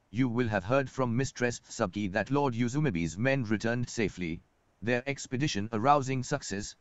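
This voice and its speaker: An actor playing a self-assured, wealthy merchant in a video game, speaking formally and eloquently in old-fashioned phrasing. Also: male voice, mid-range voice, no room sound, close to an everyday voice. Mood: composed, businesslike. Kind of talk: scripted video game dialogue. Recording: muffled game audio with background music.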